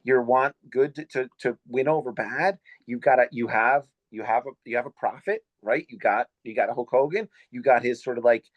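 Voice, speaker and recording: A man in his fifties hosting a podcast, talking in a casual, halting way that is full of fillers. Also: mid-range voice, no room sound, lo-fi sound. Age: 50s. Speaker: podcast host.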